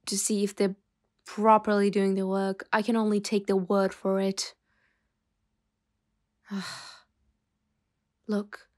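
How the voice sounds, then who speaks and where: A woman playing a stern, weary boss in an ASMR roleplay, speaking in a measured way with sighs and hesitant pauses. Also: mid-range voice, a little mellow, natural voice. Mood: exasperated. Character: stern, weary female mafia boss scolding an employee. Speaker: ASMR voice actor.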